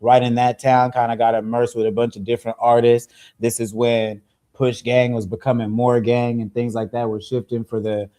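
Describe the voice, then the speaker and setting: Young male rapper guest, relaxed storyteller, dark mellow voice, mid pitch. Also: moderate pace. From Zimbabwe-born, raised in Seattle. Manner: laid-back, easygoing storytelling with slang. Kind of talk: casual interview chat.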